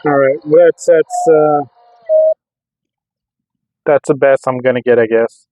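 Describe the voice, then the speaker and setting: A man casually rambling through a hobby product test in a hesitant, halting way, often repeating words. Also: mid-range voice, dark tone, slight background noise. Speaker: radio hobbyist vlogger. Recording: narrow, phone-like, lo-fi sound.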